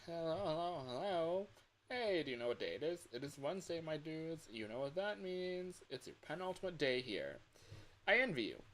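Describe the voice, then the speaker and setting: A man's voice: fairly high pitch, very animated, moderate pace, fairly bright tone, voice pushed up. A man voicing a chatty, resigned family-man coworker who is training a newcomer in a video game. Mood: upbeat, explaining. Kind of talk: rehearsed game voice lines. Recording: clear background hiss.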